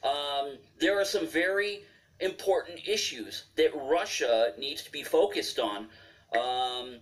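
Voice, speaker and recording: A man's voice: a little high, slow. A man arguing heatedly as a debate panelist, full of restarts and fillers. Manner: forceful, repeating fillers and restarts. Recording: distant from the mic.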